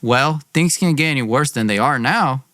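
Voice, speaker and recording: A man's voice: medium pitch, moderate pace, bright, forceful tone, no room sound, clean studio sound. A young man hosting a podcast, telling a personal story in an informal, dramatized way. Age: young adult.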